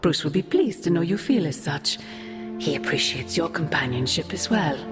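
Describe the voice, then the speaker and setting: A woman playing a manipulative, regal sorceress villainess in a video game, theatrical and deliberate in her delivery. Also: sits low, expressive, music in the background. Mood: mock sorrow, smug. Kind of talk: cinematic video game dialogue.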